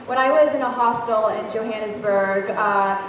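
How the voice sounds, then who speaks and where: A woman's voice: fairly high pitch, normal speed, noisy background. A young woman from Thailand speaking on a festival panel about travel, earnest and storytelling. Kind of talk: panel discussion. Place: large hall with a live audience. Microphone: handheld mic. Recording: echoey hall recorded from far off.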